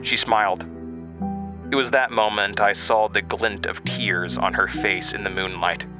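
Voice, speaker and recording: A young man acting as a haunted narrator in an audio drama, recalling his childhood in a halting, reflective monologue. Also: lowish voice, steady tone, natural voice. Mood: wistful, reflective. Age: young adult. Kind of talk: dramatic first-person monologue, reminiscing. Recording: music bed under voice. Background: music.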